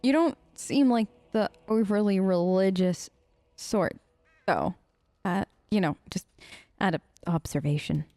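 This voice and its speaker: A woman's voice: mid-range voice, measured pace, dark tone, dry recording. A woman doing casual let's play role-play, answering game characters in an informal, hesitant, playful way.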